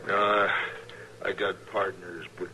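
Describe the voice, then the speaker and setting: Weak dying cowboy, slow low voice. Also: male voice, little pitch movement, pushed and bright, full character voice. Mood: weak, pained. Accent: American western. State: wounded, weak, labored speech (acted). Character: gravely wounded frontier wolf trapper, weak and halting. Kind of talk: old time western radio drama acting. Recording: noisy vintage radio recording.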